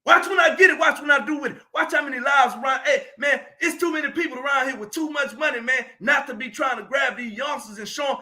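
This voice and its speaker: A man's voice: very high-pitched, quick pace, hardly any pauses, sharp tone. A man, giving a preachy, emphatic motivational talk on a live stream and often raising his voice. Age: 40s. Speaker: motivational speaker.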